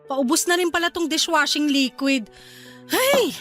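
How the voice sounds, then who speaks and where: A woman's voice: sits high, very animated, unhurried, fairly bright tone, soft background music, exaggerated voice. A woman playing a resentful, sulky young wife and mother in a Filipino radio drama, speaking tersely and pleadingly with a Filipino accent. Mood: curt, withholding.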